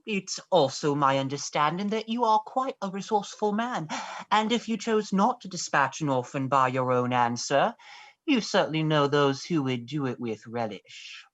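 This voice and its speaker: Woman cast as disinherited schemer, male, for a play reading: formal and insinuating, lowish female register with very lively inflection. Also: normal speed. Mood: coldly blunt. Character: bitter, scheming disinherited male heir, coldly blunt. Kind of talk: dramatized stage play script reading.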